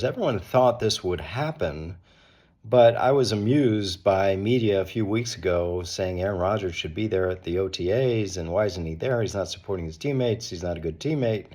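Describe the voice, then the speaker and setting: A middle-aged or older man with a cold, hoarse and slightly raspy, giving conversational, opinionated sports commentary as the host of a daily show. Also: low-pitched, normal speed, a little mellow.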